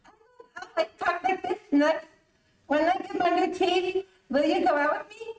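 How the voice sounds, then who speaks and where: A woman's voice: very high voice, sing-song, unhurried, exaggerated voice. A woman playing a polite, hopeful toothless boy suitor in an old-time radio comedy. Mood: hopeful, earnest. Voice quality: toothless speech. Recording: very echoey room, distant mic, noisy.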